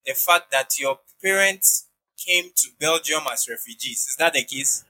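A man's voice: on the high side, expressive, measured pace, bright, forceful tone, soft background music, rough recording. A man hosting a podcast, introducing his guest and asking interview questions in a polite, encouraging manner.

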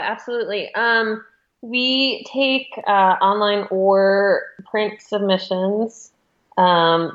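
A woman speaking as an interviewed magazine editor, explaining things in an informal, conversational way with some hedging. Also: medium pitch. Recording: dull, muffled lo-fi audio.

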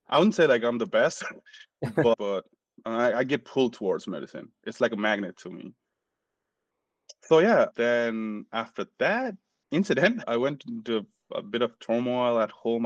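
A man's voice: mid-range voice, animated, measured pace, no room sound, dull audio. A man in his forties from Nepal, a physician telling his story in a casual interview, earnest and self-deprecating. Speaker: guest physician.

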